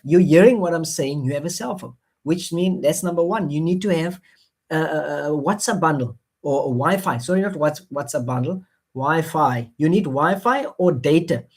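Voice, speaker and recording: A man with an Afrikaans accent talks straight to his live audience through a phone, selling an idea with steady encouragement. He circles back over the same phrases, stumbling through fillers and restarts as he coaxes viewers along. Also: a little high, breathy edge. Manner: repetitive, coaxing, many fillers and restarts. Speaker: online marketing presenter. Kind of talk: motivational sales pitch on a live stream.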